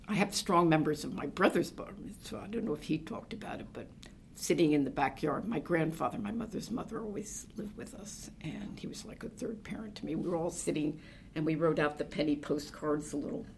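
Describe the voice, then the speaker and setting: Older lady from new jersey, low gravelly voice, rambling reflective memories w lots of ums. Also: darkish tone, a little hiss or hum. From New Jersey, USA. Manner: meandering, reflective storytelling with many fillers. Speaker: oral history interviewee. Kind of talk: reminiscing conversation.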